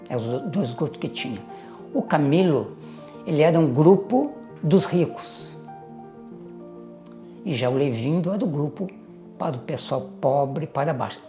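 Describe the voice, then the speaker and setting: An older man tells his family's story with a gravelly edge to his voice. He speaks in a measured, reflective way, pausing and hesitating as he remembers. Faint music plays behind him. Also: a little high, a little mellow. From Minas Gerais, Brazil. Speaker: interviewee recounting family history. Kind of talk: reminiscing oral history.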